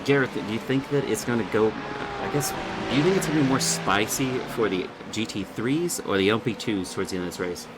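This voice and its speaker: A man's voice: fairly low pitch, fast talker, hardly any pauses, noisy background. A man giving casual, conversational commentary on a sim race, speaking in a loosely improvised way.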